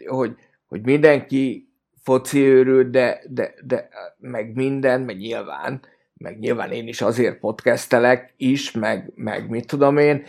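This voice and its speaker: A man's voice: medium pitch, gravelly, darkish tone. A man talking casually as a panelist on a football podcast.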